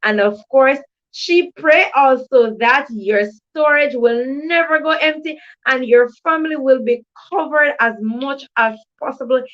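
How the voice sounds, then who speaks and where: Jamaican woman, livestream host for an educational show. High voice, effusive and repetitive delivery, muffled lo-fi sound. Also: unhurried, fairly bright tone. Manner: effusive, repeats thanks and stock phrases. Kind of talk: live chat hosting with shout-outs. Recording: dull lo-fi livestream audio.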